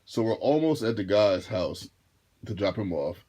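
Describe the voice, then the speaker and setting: A man in his thirties with a deep, low voice, chatting straight to the camera in a loose storytime. He takes his time, repeats himself and circles back mid-sentence in an easygoing way. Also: animated, moderate pace, dark tone. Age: 30s. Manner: laid-back, rambling, repeats and restarts phrases. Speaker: vlogger and rideshare driver. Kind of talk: casual storytime monologue.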